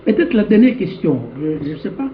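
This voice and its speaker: A man's voice: sits high, sing-song, moderate pace. A man asking questions as a journalist in a conversational, slightly hesitant way. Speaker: journalist interviewer. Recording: echoey, muffled, faint background noise.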